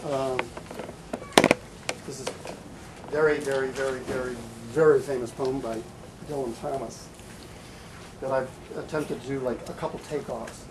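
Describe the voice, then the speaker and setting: A man giving a live poetry reading in a bookstore, with halting, deliberate delivery, in an amateur recording. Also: medium pitch, steady tone, heavy background noise. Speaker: poet. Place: bookstore reading event, indoors.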